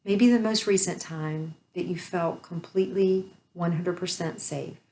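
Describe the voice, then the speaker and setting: A woman leading a guided meditation, speaking softly and soothingly with long pauses. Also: fairly low pitch, a little hiss or hum, rough recording.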